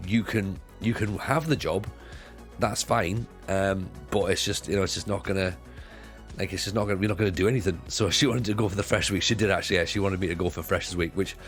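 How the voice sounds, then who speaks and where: A British man with a croaky voice, chatting casually to viewers on a live stream while playing a football management game, rambling and repeating himself. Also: low voice, quick pace, soft background music. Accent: British English.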